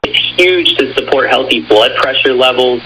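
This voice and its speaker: Bright-voiced man pitching on radio. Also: lively intonation, normal speed. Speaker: guest product representative. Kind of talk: promotional radio conversation. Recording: faintly noisy shortwave radio.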